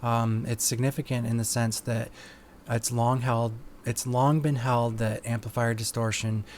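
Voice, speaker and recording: A man with a mid-pitched voice talks through technical measurements in a measured, halting way. He sounds like an engineer explaining results step by step. There is some faint noise behind him on the recording. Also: level tone, darkish tone. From Canada. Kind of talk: technical explanatory talk over test results.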